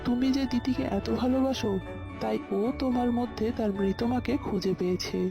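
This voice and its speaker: A woman's voice: fairly high pitch, a little fast, creaky. A woman voicing a kind, earnest young man in love in a scripted cartoon. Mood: happy. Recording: loud background music. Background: music.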